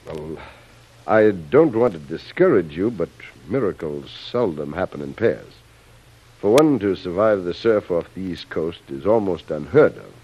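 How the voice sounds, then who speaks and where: An actor playing a commanding island boss, suave, measured and patronizing, in a classic radio drama. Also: male voice, low voice, very animated, a little mellow, breathy edge, heavily acted. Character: smooth, commanding male island boss, outwardly fatherly. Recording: muffled old radio recording.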